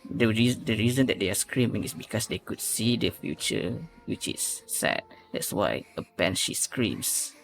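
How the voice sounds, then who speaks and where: A man's voice: fairly low pitch, unhurried, creaky, hardly any pauses, a bit bright. A man hosting a reaction video, giving casual commentary and analysis as he thinks aloud and repeats phrases. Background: faint music.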